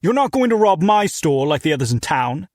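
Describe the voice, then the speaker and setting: A man voicing a hostile, armed male store owner with a British accent, talking loudly and threateningly. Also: fairly high pitch, very animated, a little fast, no echo, clean studio sound, voice pushed up. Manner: loud, threatening. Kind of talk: audiobook character voice.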